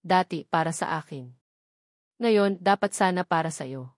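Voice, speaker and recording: A woman's voice: lowish voice, unhurried, dry recording, natural voice. Woman doing an angry old mom in a radio drama, bitter n accusing, dry close recording. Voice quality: hard, trembling voice. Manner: accusing, reproachful. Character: bitter, angry elderly mother defending her wronged son.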